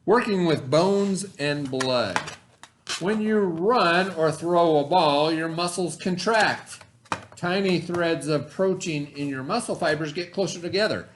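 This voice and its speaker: A man teaching by reading aloud to pupils, pausing to explain in casual asides and check that they follow. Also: on the high side, slight background noise. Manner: pauses to explain, checks in with "okay?".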